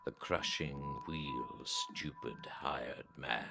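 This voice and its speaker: A man reading a literary story aloud in a solemn, deliberate way, breaking phrases with long pauses. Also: extremely low pitch, vocal fry, over loud music. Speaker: narrator reading a translated story.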